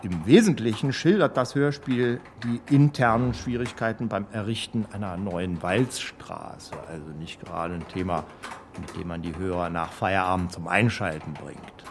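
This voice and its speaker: An actor playing a guarded, condescending former state security officer, speaking hesitantly and matter-of-factly in a mock documentary interview. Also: male voice, low-pitched, normal speed, a little hiss or hum. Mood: dry, dismissive. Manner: hesitant, dry, matter-of-fact.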